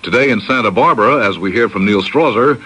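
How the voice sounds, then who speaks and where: A man's voice: on the low side, a little fast, pushed and bright, breathy edge, poor audio. An American man anchoring a radio newscast, reading scripted news.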